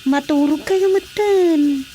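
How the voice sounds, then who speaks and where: A woman's voice: high-pitched, takes it slowly. A woman playing a caring grown daughter at home in a radio drama, with faint noise behind her. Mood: concerned, soothing.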